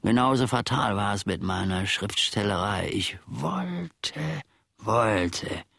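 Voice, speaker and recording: Gravelly, slow, melancholy manservant. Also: male voice, lowish voice, expressive, a bit bright, dry recording, clean studio sound, heavily acted. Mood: brooding, rambling. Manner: ruminative, dramatic, halting delivery with long pauses. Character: melancholy, wryly self-mocking old manservant looking back on his life. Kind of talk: radio play monologue.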